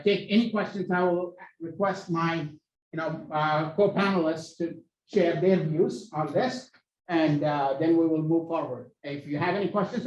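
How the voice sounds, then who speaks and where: A man moderating an academic panel, presenting research in halting, repeated phrases. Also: on the high side. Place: university hall. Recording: echoey hall, distant mic.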